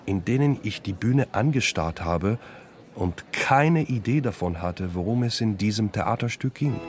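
A man's voice: lowish voice, lively intonation, a little slow. A man from Israel narrating a scripted first-person radio piece. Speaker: narrator, theatre and radio play director.

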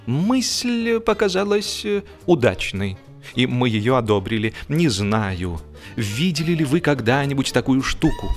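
A man narrating a humorous literary story in an expressive, theatrical way, with dramatic pauses and emphasis. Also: medium pitch, faint music behind. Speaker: audiobook narrator.

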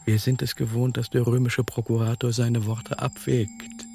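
An actor playing the high priest Kaiphas, stern and defiant, who speaks in a measured, dignified way in a radio drama. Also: male voice, flat delivery, gravelly, lightly acted. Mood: indignant. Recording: radio play with music underneath.

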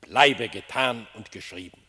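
A man's voice: on the low side, unhurried, pushed and bright, breathy edge, exaggerated voice. An actor playing a solemn, gracious animal king, speaking stately, formal verse in a classic German radio play. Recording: long hall-like reverb on voice.

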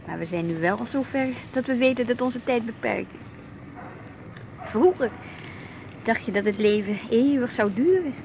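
An actress playing a wistful, discontented married housewife in a radio play. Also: female voice, on the high side, moderate pace, vocal fry, long pauses, muffled recording, background noise. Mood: melancholy. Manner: expressive, brooding, plaintive.

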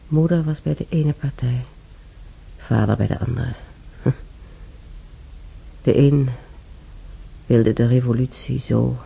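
Tired ailing storyteller in a radio play, super gravelly and dark, mumbles and sighs, faint noise. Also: male voice, medium pitch, lo-fi sound. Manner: halting, sighing, muttering, often near whisper. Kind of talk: intimate radio drama monologue.

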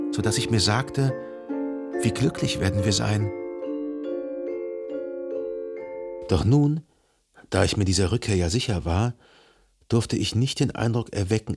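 An actor playing a melancholy, self-deceiving gentleman, a jilted lover narrating a literary radio drama in a measured, formal and carefully articulated way. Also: on the low side. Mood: wistful, brooding. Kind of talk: literary radio play narration, reading a letter.

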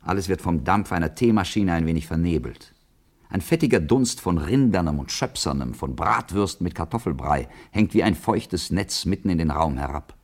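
A low-voiced bitter male outsider in a radio drama. He broods in a measured, literary monologue, self-conscious and set apart from everyone around him. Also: lively intonation. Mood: brooding, observant. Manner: measured, literary, introspective delivery.

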